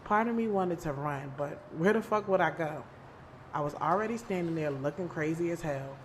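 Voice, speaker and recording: A woman's voice: low voice, normal speed, slight background noise, lightly acted. A woman playing a frank, defensive young woman who narrates her own love-triangle drama in an audio series, with music under her voice. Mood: reflective. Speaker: narrator and lead character. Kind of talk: audio drama acting with first-person narration. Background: background music.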